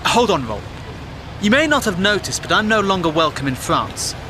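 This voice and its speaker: High-voiced, cynical English war reporter. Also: male voice, sing-song, normal speed. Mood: indignant. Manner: wry, indignant, plain-spoken. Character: cynical, outspoken war reporter, man, of the 1910s. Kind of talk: audio drama acting. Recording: noisy moving-car ambience behind.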